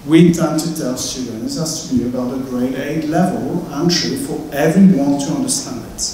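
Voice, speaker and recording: A middle-aged man with a French accent, hosting a university event in a chatty, welcoming way with some halting fillers. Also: medium pitch, warm tone, slight background noise. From France. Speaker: host. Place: large lecture hall, indoors. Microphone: handheld mic, far camera. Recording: very echoey hall from far off.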